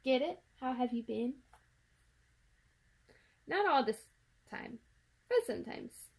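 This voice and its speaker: A woman reading a children's picture book aloud in an expressive storytelling manner. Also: high voice, slow pace, creaky, breathy edge. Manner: expressive, acts out sound words and dialogue.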